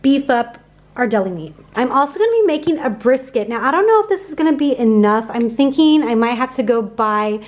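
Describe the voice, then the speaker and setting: Chatty upbeat woman vlogger, slightly high. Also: animated, a little fast. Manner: chatty, upbeat, lots of fillers. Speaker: grocery haul vlogger. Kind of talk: casual voice-over chat.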